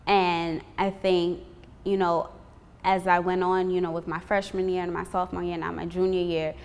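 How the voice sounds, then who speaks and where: Flat low-toned teenage girl. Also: normal speed, smooth, big-room sound. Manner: steady, fills with "you know". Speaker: high school student. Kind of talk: interview answer.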